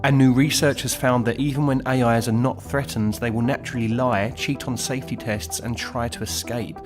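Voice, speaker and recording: A man reading scripted documentary narration in a measured, announcer-like way. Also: medium pitch, little pitch movement. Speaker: video narrator. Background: music bed.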